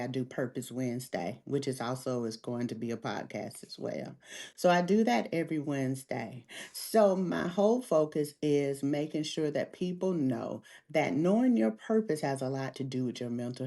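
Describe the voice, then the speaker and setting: Low, gravelly-voiced woman life coach. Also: dark tone. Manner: earnest, faith-centered encouragement. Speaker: life coach, podcast guest. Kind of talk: interview answers.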